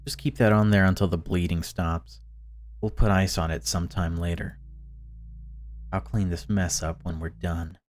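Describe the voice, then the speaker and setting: A man reading the lines of a dangerous young female stalker, calmly scolding and falsely apologetic. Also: low-pitched, moderate pace, natural voice. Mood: controlling.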